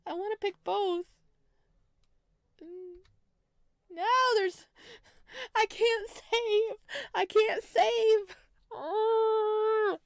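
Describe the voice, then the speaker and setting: A woman streaming a visual novel, reading aloud and reacting in a playful, dramatic, expressive way. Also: very high-pitched, extremely slow, pauses often, no room sound, studio quality. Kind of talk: let's play, reading game narration aloud with reactions. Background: game music.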